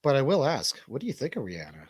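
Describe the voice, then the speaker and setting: A man's voice: mid-range voice, huge pitch swings, quick pace, husky, no room sound, studio quality. A man co-hosting a livestream in casual banter, with a rambling, slangy, jokey way of talking. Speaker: livestream co-host.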